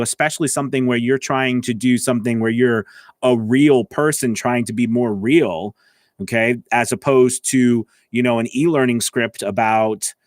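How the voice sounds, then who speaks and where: A man's voice: medium pitch, no echo, studio quality. A man giving an informal lesson as a voice-over coach, explaining conversationally and often checking in with the listener. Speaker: voice-over coach and working voice actor. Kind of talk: informal instructional talk to camera. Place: editing station indoors.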